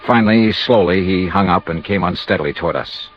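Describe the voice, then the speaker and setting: An American man playing a brisk, skeptical insurance investigator who narrates his own case in a 1950s radio detective drama. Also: low-pitched, soft background music, close to an everyday voice. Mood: tense. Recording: dull vintage radio recording.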